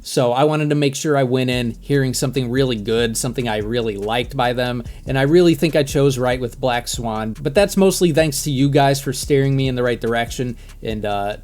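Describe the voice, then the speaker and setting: A man's voice: medium pitch, moderate pace, darkish tone. A man with a mid-range voice hosts a music reaction video in native English. He talks in a casual, conversational way, reflecting on things as he goes. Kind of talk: casual reaction commentary to camera.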